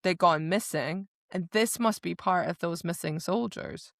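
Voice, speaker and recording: A woman's voice: on the low side, a little slow, gravelly, no echo, studio quality. A woman co-hosting a podcast, casually recapping a book in a playful way. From Scotland. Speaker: podcast co-host.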